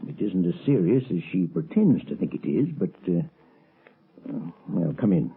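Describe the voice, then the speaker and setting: A shifty, nervous professor in a vintage radio mystery. His low voice is very rough and husky, swinging through evasive hesitations and brusque bluster, on a muffled old recording. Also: big pitch swings, normal speed, full character voice. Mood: uneasy. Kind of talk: 1930s-40s radio mystery acting.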